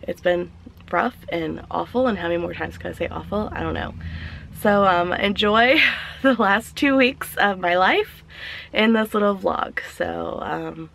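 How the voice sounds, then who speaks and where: A woman vlogging casually while sick, her voice raspy and hoarse, tired and short of breath, with small laughs between sentences. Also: medium pitch, normal speed, a bit bright. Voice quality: raspy, weak voice. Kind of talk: casual vlog chat.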